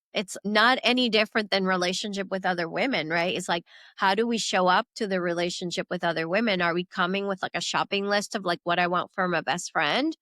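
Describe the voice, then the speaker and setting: A woman's voice: on the low side, fairly bright tone, no echo, studio quality. A woman from Venezuela talking as a guest in a spiritual conversation, in a reflective, loose and conversational way.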